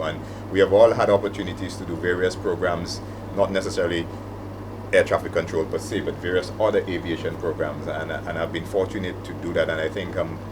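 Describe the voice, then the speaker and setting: Deep-voiced Saint Lucian man, noisy room. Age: middle-aged. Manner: conversational, anecdotal. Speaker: panelist, aviation operations manager. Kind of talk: reflective panel talk with anecdotes.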